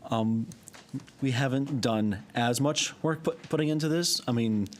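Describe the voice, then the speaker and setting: A man on town staff explaining fees and procedure to a board at a public meeting, with frequent ums and restarts. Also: medium pitch. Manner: halting, with frequent ums and self-corrections. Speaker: town wetlands staff member. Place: town hall meeting room.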